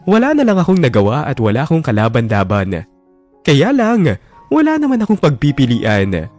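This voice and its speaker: A man's voice: mid-range voice, huge pitch swings, normal speed, darkish tone, faint music behind. An actor narrating as a heartbroken young man, brooding and wounded over a lost love, in a radio drama. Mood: bitter. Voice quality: brooding, wounded inner monologue.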